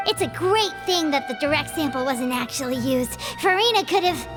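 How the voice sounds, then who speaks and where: A woman voicing a small, childlike fairy companion in a video game, blunt and excitable, with music behind her. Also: sits high, moderate pace. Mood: earnest. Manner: excitable, talks in third person. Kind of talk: cartoonish video game acting.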